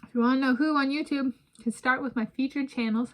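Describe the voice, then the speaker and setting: A woman's voice: high-pitched, steady tone, quick pace. A woman in her thirties from the United States, chatting casually in a food vlog at home, wandering off topic as she eats. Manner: rambling, digressive, talks while eating. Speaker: vlogger. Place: home room with bookshelves, indoors.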